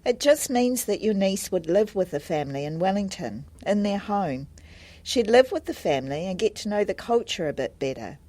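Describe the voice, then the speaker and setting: A woman acting a helpful, friendly adviser in a scripted listening-test dialogue, speaking in a measured, explanatory way. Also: medium pitch, lively intonation, warm tone, natural voice. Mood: helpful, calm.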